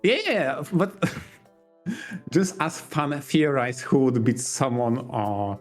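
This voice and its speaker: A man's voice: mid-range voice, lively intonation, takes it slowly, soft background music. A man co-hosting a podcast, explaining things casually in careful, roundabout phrases. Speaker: podcast co-host.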